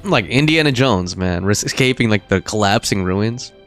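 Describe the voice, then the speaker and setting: A man's voice: lowish voice, lively intonation, normal speed, fairly bright tone, faint music behind. A man doing casual live gameplay commentary in a slangy, reactive way with some swearing. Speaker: live streamer playing a game.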